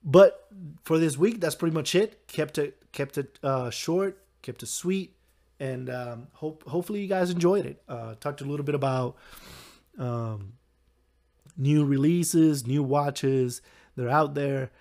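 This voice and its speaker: A young man with a hoarse, recovering voice, hosting a solo podcast in a rambling, halting way with frequent chuckles. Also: on the high side, mellow. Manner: chuckles often. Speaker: podcast host. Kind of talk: casual solo podcast talk.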